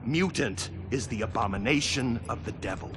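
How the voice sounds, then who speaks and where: An actor playing a fanatical, righteous zealot in a video game, preaching with menace. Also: male voice, medium pitch, lively intonation, moderate pace, close to an everyday voice. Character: fanatical male zealot preaching hatred of mutants. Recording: loud street and vehicle noise.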